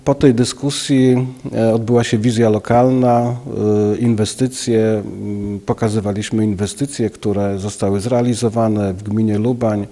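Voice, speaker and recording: Slow, halting man, low mellow voice. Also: steady tone. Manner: halting, many filler sounds. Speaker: local official. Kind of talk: news interview. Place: office, indoors. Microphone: handheld station mic.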